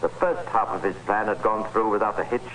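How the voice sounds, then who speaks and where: An actor playing the grave, measured narrator of a crime tale in an old-time radio drama. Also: male voice, fairly low pitch, steady tone, a bit bright, lightly acted, poor audio. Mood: grave, ominous. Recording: hissy vintage radio recording.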